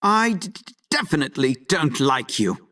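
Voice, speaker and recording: An actor playing a timid, stuttering but loyal fighter in a video game. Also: male voice, fairly high pitch, huge pitch swings, takes it slowly, fairly bright tone, distant mic, heavily acted. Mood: nervous defiance. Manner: nervous stutter.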